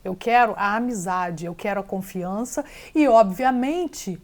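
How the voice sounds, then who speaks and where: A woman's voice: medium pitch, unhurried. A Brazilian woman, a real estate agent, chatting in an interview with a Brazilian accent and often mixing English words into her Portuguese. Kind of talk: casual interview chat.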